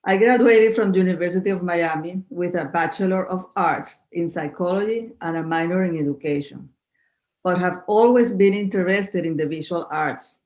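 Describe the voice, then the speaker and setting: A woman's voice: fairly low pitch, moderate pace, darkish tone. Venezuelan woman in her fifties. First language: Spanish. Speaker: guest. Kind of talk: podcast interview.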